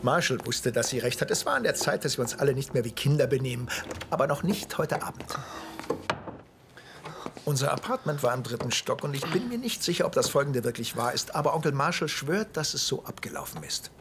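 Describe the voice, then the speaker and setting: Male series narrator, reflective tone, lively pitch, noisy track. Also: brisk. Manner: reflective, storytelling. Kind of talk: dubbed TV narration.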